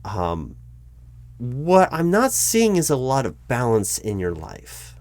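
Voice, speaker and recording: A man's voice: mid-range voice, huge pitch swings, slow, a little mellow, no room sound. A man playing a polite, awkwardly tactful corporate hiring manager in a one-sided interview roleplay, speaking aloud in a hesitant, stammering, diplomatic way. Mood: concerned, tactful. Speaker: recruiting manager conducting a job interview.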